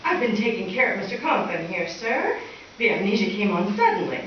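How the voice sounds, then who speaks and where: A woman's voice: mid-range voice, big pitch swings, fairly quick, close to an everyday voice. A woman playing a polite, dryly wisecracking schoolteacher in a live radio comedy. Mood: improvising, wry. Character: wry, quick-witted woman schoolteacher humoring her boss. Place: live stage performance. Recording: very echoey hall, distant, dull, hissy.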